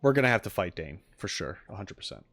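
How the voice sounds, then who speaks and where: A man's voice: low voice, very animated, fast, fairly bright tone, dry recording. A man chatting casually on a livestream as a streamer answering viewers, rambling and speculating as he goes. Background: music in the background.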